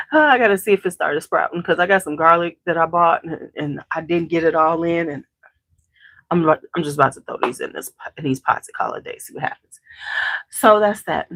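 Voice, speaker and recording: Congested, relaxed woman chatting with viewers. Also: on the low side, a little fast, dry recording. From Chicago area. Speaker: beauty channel host. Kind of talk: casual chat to a live audience.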